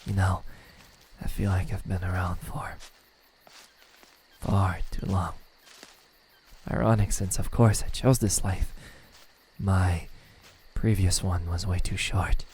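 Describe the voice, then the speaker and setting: A man playing a lonely immortal demon in an ASMR roleplay, haughty and halting, secretly tender, with a husky voice. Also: sits low, steady tone, a little mellow, slight background noise. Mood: wistful. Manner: slow, halting, condescending speech with stammers and sighs. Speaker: voice actor.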